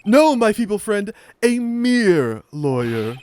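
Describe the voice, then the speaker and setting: High-pitched, pompous CEO villain. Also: male voice, sing-song, slow, a little mellow, exaggerated voice. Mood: menacing, sneering. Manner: pompous, theatrical, flowery invented words. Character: smug, pompous, entitled male corporate CEO villain. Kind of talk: video game character voice-over.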